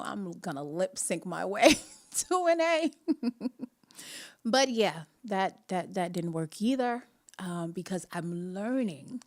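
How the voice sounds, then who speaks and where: Jamaican-accented woman, young adult host, animated storyteller who laughs through her lines, lowish pitch. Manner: laughs often, animated, many restarts and asides. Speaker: podcast host. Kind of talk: casual faith talk, personal storytelling.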